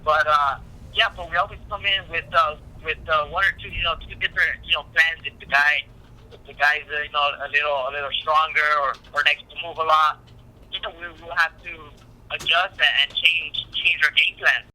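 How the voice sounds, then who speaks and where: Man, very bright tone, slightly high pitch, phone interviewee, casual, halting, repetitive phrasing. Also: steady tone. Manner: halting, repetitive, frequent "you know". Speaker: professional boxer being interviewed. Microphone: telephone call. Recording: tinny phone line.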